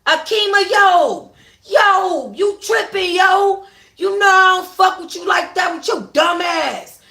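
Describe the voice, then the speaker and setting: Female narrator as defensive young guy, exasperated, shouting, slangy, pleading, bright tone, roomy recording. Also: very high voice, takes it slowly, vocal fry, pitched above the performer's own voice, full character voice. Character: exasperated young man caught cheating, loud, defensive.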